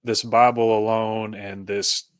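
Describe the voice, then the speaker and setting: A man with a slightly low voice talks as a guest in a reflective interview. The sound is close and dry, and he feels his way haltingly toward each phrase, often starting it again. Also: little pitch movement, smooth, no room sound. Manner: halting, searching for words, repeats phrase starts. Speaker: podcast guest, author.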